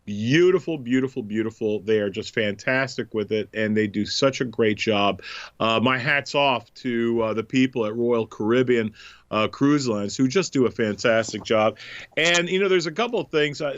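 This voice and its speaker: A man's voice: medium pitch, normal speed, dry recording. Guy hosting a podcast w a cold, stuffy nose, rambly and jokey, dry kinda dull sound. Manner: chatty, jokey, many filler ums. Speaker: podcast host and comedian. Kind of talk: casual radio chat.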